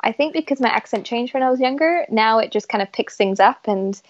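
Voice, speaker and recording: A woman's voice: a little high, lively intonation, a little fast. A woman in her thirties, a yacht chief stewardess, speaking in a casual interview with a mixed Canadian and British accent, enthusiastic and full of fillers. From Isle of Man, raised in Canada. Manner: chatty, enthusiastic, many fillers. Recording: slightly dull lo-fi audio.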